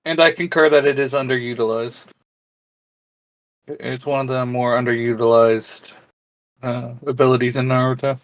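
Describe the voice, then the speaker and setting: Jokey man with a mid-pitched voice. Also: fairly even intonation, moderate pace, long pauses, low-quality recording. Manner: jokey, teasing banter. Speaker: co-host. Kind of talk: casual chat.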